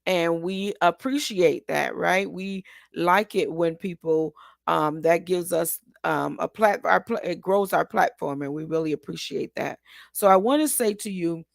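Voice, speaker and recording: Hoarse woman host with a gravelly, dry sound; rambling and encouraging, many ums. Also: on the low side, a little slow, no echo. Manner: encouraging, asks listeners to agree. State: hoarse today. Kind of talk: casual motivational talk.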